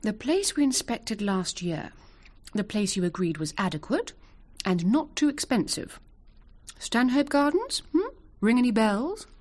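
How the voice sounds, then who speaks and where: An actress playing an assertive English barrister's wife, brisk, pointed and articulate, in a British radio drama. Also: female voice, a little high, very animated. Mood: pointed, reproachful. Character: barrister's sharp, assertive wife, ambitious to qualify herself.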